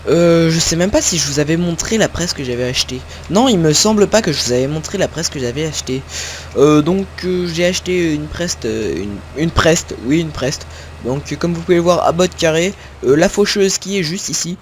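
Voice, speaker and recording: A young man from northern France chats through his gameplay, circling back, correcting himself and filling pauses as he goes. His voice sits a little higher than most men's. Faint tractor and farm sounds from the game hum underneath. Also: expressive. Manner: rambling, many fillers and self-corrections, talks to viewers while playing. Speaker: gaming video creator. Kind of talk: casual gameplay commentary. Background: faint game sounds of tractors and farm ambience.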